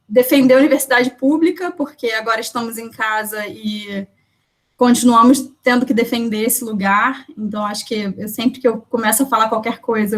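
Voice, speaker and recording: A woman poet and researcher from Brazil introducing herself at an online poetry event, chatting from home over a video call with lots of fillers. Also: on the high side. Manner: chatty, warm thanks, many fillers.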